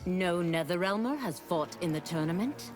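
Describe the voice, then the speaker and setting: A woman voices a solemn, redemption-seeking demoness for a video game. Her very deep voice is formal and grave, with clear music behind it. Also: expressive, normal speed, lightly acted. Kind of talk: video game character dialogue.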